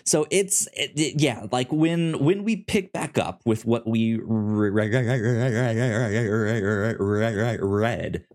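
A man's voice: mid-range voice, takes it slowly, hardly any pauses, a little mellow. A man co-hosting a casual podcast, chatty, often stumbling and restarting his words. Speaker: podcast co-host.

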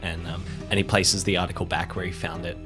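A man's voice: low voice, flat delivery, fast talker, over music. A man narrating his character's actions as a player in an improvised tabletop story.

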